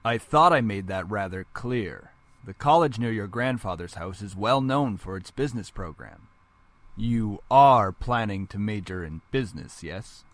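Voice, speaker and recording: A man's voice: lowish voice, lively intonation, unhurried, a bit bright, dry recording. A man playing a cold, domineering middle-aged father in a visual novel, stern and condescending in manner. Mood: cold, commanding. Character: cold, domineering, angry father and business executive.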